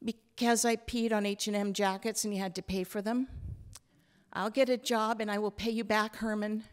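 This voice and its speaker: A woman's voice: mid-range voice, fairly even intonation, normal speed, voice pushed up, close to an everyday voice. A woman voicing a frightened, contrite young granddaughter, earnest and pleading, in a literary story. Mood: guilty, defiant. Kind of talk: acted literary reading.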